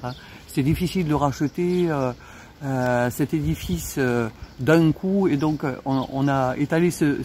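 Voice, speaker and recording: A man giving an informal explanation in an on-site interview, halting as he searches for words. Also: on the high side, darkish tone. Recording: faint ambient background noise.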